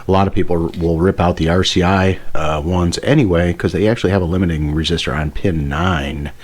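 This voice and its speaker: A man with a low-pitched voice presents gear in a casual, hands-on way. He rambles enthusiastically and often corrects himself as he goes, like a hobbyist explaining a favorite piece of equipment. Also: fairly even intonation, fairly quick, a little mellow. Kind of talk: casual hands-on product demonstration. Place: indoor workbench.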